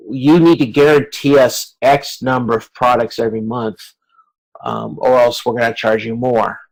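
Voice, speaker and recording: A man lecturing informally on a video conference, explaining conversationally in a halting way with pauses and self-corrections. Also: mid-range voice, low-quality recording. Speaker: university lecturer. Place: live video conference recording.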